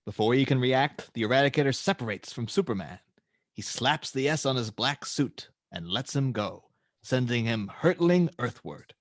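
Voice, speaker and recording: A man's voice: medium pitch, animated, moderate pace, no room sound, studio quality. A man reading stage directions as the narrator of a dramatic superhero script table read.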